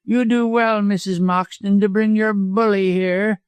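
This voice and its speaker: Guy doing a sneering weaselly lawyer for an audiobook, snarly and harsh, voice pushed way up high, dry sound. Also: flat delivery, unhurried, clear, resonant tone, dry recording. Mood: venomous. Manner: snarling, gasping, harsh, chuckling. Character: venomous, cowardly, sneering male lawyer villain.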